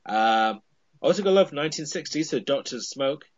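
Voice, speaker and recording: A man's voice: mid-range voice, expressive, normal speed, dull audio. A man on a podcast, casually retelling a television episode with frequent "I mean" fillers.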